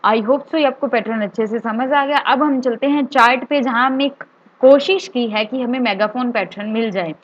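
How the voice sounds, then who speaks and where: A woman with an Indian accent teaches in a somewhat high-pitched voice. She explains things step by step, often going back over key points and slipping English terms into her speech. The recording is a little dull and lo-fi. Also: normal speed. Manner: repeats key points for clarity. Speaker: trading instructor.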